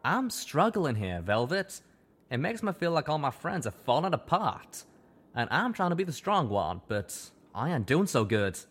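A man voicing a young male pony who speaks in a rough folksy way, strained with guilt. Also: on the high side, very animated, normal speed, fairly bright tone, pitched above the performer's own voice, heavily acted. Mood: anguished. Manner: folksy drawl, strained and emotional.

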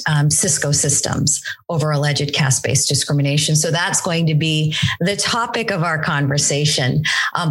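Low-voiced woman hosting an interview. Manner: reads bio smoothly, then asks questions with fillers. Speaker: interview host. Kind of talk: formal introduction then conversational interview.

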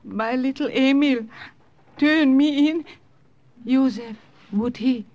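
An anxious, gentle European grandmother from an old radio thriller. Her voice is high for a woman. She speaks tenderly, with a pleading edge. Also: slow pace, muffled recording. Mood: tender, worried. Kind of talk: old-time radio drama acting.

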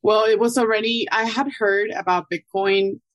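A woman from Ecuador, a guest calmly explaining her background in an interview. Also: mid-range voice, moderate pace, no room sound, muffled recording. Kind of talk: casual interview answers.

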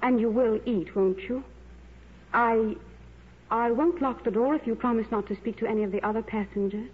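A woman's voice: a little high, steady tone, normal speed, breathy edge. A woman playing a kind young stewardess, polite and reassuring, in 1950s radio drama style. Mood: concerned, gentle. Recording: tinny, noisy old radio broadcast.

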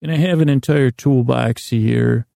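A man with a low-key, relaxed tone and a crackly fry delivers a rambling bedtime monologue. He circles back on his words and lets sentences drift off unfinished. The recording is dry and close, with little room around the voice. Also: dry recording. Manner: meandering, halting, repeats and trails off. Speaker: podcast host. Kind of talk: rambling bedtime podcast monologue to help listeners sleep.

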